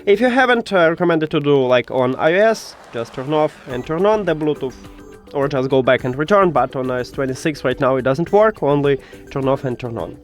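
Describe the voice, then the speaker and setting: A man who speaks English as a second language, hosting a step-by-step tech tutorial in a halting, instructional way. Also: a little high, lively intonation, faint music behind. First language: not English.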